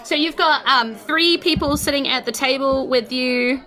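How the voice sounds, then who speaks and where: A woman's voice: high voice, normal speed, pushed and bright, rough recording. A woman, narrating a tabletop game as game master in an informal, conversational way with casual asides.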